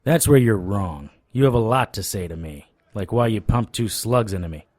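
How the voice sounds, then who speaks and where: A tough, wry private eye of the supernatural in a noir audio drama. His voice sits a little low and is recorded close and dry. He talks with deadpan, sardonic swagger. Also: normal speed, dry recording. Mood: threatening. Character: wry, tough male supernatural private investigator, hard-boiled narrator.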